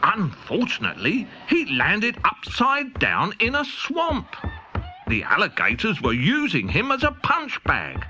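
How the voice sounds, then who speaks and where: A man narrating a children's storybook in a playful, animated way. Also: high-pitched, measured pace, slight background noise. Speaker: audiobook narrator. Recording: dull cassette tape with music.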